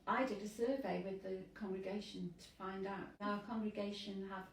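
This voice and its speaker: A woman priest who lives with hearing loss, speaking earnestly and in measured phrases in an interview. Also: medium pitch, fairly even intonation, gravelly, reverberant, distant mic, a little hiss or hum, rough recording.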